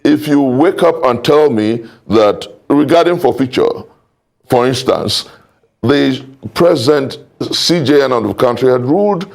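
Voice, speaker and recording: A Nigerian man speaks as a guest in a political debate. His voice is fairly high and noticeably creaky, and he argues in a formal, oratorical way, stressing phrases by repeating them. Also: expressive. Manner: emphatic, formal, deliberate repetition.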